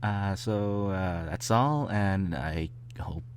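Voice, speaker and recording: A man hosting his channel, talking casually and informally to listeners in a halting, unscripted way. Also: deep voice, a little hiss or hum. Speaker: host and fan fiction reader.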